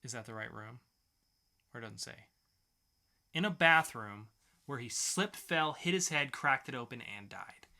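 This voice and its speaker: A man's voice: medium pitch, lively intonation, a little slow, pauses often, sharp tone. A man co-hosting a podcast with playful banter and mock game-show announcing. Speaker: podcast co-host.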